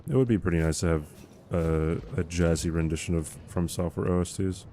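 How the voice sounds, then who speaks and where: A man with a very deep, mellow voice chats on a live game stream, joking and rambling with plenty of fillers. His tone is calm and smooth like a radio voice, with a faint hiss behind him. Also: a little fast. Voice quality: low calm radio-type voice. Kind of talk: casual live-stream chatter.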